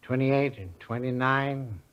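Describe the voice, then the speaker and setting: A man's voice: mid-range voice, slow, dull audio, natural voice. An actor playing a rich, scheming old American businessman, condescending and domineering, in 1940s radio drama style. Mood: smug, condescending.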